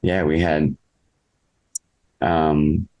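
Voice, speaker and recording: Male musician for a podcast interview: very low voice, casual, halting, thoughtful delivery. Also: level tone, dull audio. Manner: halting, reflective, thinking aloud. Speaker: interviewed musician, singer in a band.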